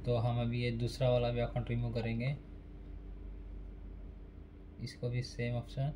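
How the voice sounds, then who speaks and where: Flat-voiced man explaining phone steps. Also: medium pitch, a little slow, noisy background. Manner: plain, repetitive, instructional. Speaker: phone repair tutorial host. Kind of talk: casual step-by-step tutorial.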